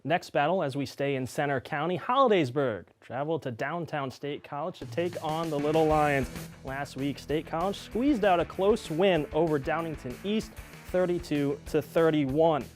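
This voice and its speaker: A man working as a sports anchor, narrating game highlights from a script with an emphatic play-by-play delivery. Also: normal speed, soft background music.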